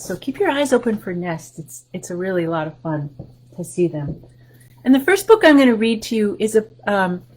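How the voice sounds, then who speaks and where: Soft-spoken woman reading to kids. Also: mid-range voice, slightly rough, low-quality recording. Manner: gentle, explains pictures between rhymes, often drops to a soft whisper. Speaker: library story time host. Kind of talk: reading a picture book aloud to children.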